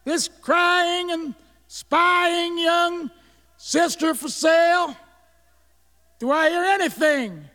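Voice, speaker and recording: A performer voicing a kid who hollers like an auctioneer selling off a pesky sister, in a drawn-out barking pitch. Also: bright, forceful tone, long reverb tail, exaggerated voice. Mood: pleading.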